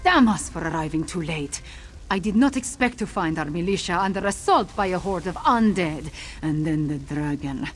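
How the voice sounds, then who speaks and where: A woman's voice: fairly low pitch, animated, normal speed, faint music behind, lightly acted. A woman voicing a solemn female cat-folk warrior commander in a video game, earnest and formal. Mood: regretful. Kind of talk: video game character dialogue.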